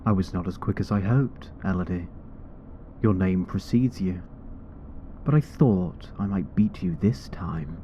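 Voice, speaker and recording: A man playing a deep, slow, haughty monster hunter. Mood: cold, taunting. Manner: slow, formal, condescending. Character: menacing, haughty male rival monster hunter. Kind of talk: fantasy audio roleplay acting. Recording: dull sound with background noise.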